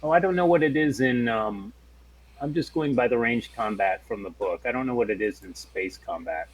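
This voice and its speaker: Male tabletop player, medium register, rough-edged voice, casual and conversational. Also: medium pitch, normal speed, low-quality recording. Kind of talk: casual game-table chat.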